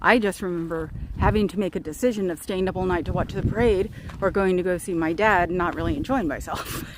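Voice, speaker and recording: A woman's voice: lowish voice, fast, noisy background. A woman chatting casually while walking outdoors, teasing her friend and laughing often. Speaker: vlog host. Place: outdoors in nature.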